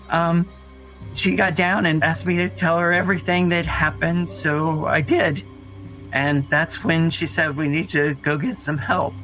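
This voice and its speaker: Earnest young boy, bright halting voice. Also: a little high, poor audio. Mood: anxious. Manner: rambling, halting, childlike storytelling. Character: Dougie, frightened earnest young boy recounting his brother's disappearance. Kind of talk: naturalistic audio drama acting. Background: music under the voice.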